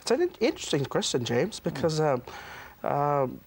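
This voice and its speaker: A man's voice: a little high, sing-song, moderate pace, slightly rough, dry recording. A man, a pastor being interviewed in a studio, speaking hesitantly with many restarts. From Samoa. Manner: hesitant, many restarts and fillers, soft-spoken.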